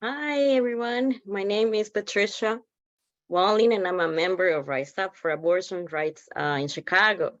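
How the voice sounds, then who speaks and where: A woman from El Salvador, an activist, giving a halting, earnest personal testimony over a slightly muffled video call. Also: mid-range voice, a bit bright, no room sound. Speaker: activist organizer.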